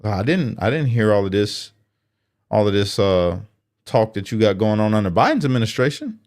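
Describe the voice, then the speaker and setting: A man's voice: lowish voice, very animated, normal speed, a little mellow. Blunt, confrontational male commentator. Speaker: commentary host. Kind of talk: political commentary, talking straight at the subject.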